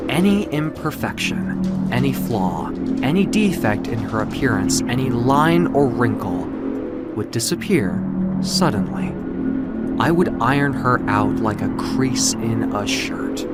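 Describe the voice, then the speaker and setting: A man's voice: mid-range voice, lightly acted. A man voicing a proud, capricious ancient magical being in florid, theatrical storybook narration. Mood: hushed, proud. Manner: florid, theatrical, self-satisfied. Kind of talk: dramatic first-person storybook narration. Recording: music behind the voice. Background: music.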